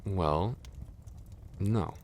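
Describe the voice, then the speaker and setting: A young man playing a gentle, nervous best friend in an ASMR boyfriend roleplay, speaking softly and hesitantly in a tender, reassuring way. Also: very slow, slight background noise. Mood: nervous, warm. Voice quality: very deep, creaky.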